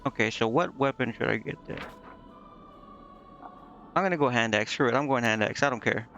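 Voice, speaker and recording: A man's voice: mid-range voice, fairly quick, a bit bright, dull audio, soft background music. A man streaming a video game, thinking aloud in a casual, hesitant way as he mutters to himself.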